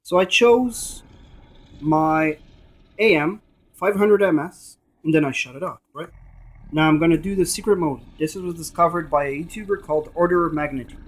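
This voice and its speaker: A man's voice: a little high, normal speed. A man giving a casual, emphatic and repetitive hands-on gadget demonstration. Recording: noisy room with device static. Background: radio scanning static.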